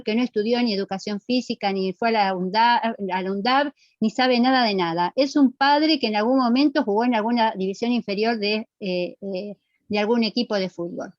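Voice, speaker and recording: High-voiced Argentine woman lecturing. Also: moderate pace, no echo, lo-fi sound. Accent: Rioplatense Argentine. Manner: didactic, emphatic, checks understanding with short questions. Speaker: physical education lecturer. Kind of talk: classroom lecture to students.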